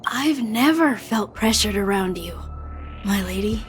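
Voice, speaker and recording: A woman's voice: medium pitch, expressive, unhurried, soft background music, close to an everyday voice. A woman voicing a dutiful, polite young male guard in an anime-style video game, hesitant, formal and anxious. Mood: apologetic, subdued.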